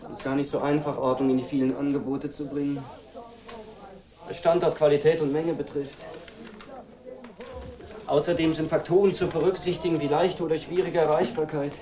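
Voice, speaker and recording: A man's voice: on the high side, little pitch movement, normal speed, pauses often, mellow, close to an everyday voice. A man acting an earnest, intense undercover timber dealer in a German radio drama, with precise, articulate delivery. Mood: businesslike.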